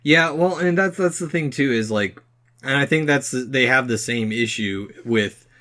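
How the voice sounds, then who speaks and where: Bright-voiced man chatting on a podcast. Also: mid-range voice, unhurried. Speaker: podcast host. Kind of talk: casual podcast discussion.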